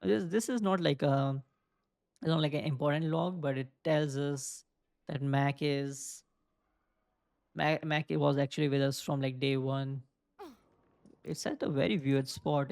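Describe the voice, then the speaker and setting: A man's voice: fairly high pitch, a little slow, gravelly, long pauses, a little mellow, no echo, studio quality. A young man casually commenting on a video game, thinking aloud and rambling with lots of filler words. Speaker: gaming video creator. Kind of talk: casual let's play commentary.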